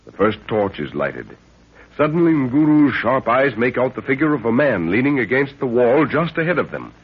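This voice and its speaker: A man's voice: mid-range voice, very animated, normal speed, slightly rough. A man narrating a 1930s radio serial as announcer, in a portentous, theatrical scripted style. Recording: muffled, noisy 1930s radio recording.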